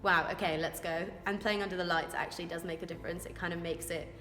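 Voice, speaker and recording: A woman's voice: fairly low pitch, steady tone, fast, pushed and bright. Young woman athlete, informal and keen, recorded in a reverberant hall. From Wales. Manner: chatty, eager, informal. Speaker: rugby scrum-half. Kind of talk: interview answers. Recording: echoey room with long reverb, music behind.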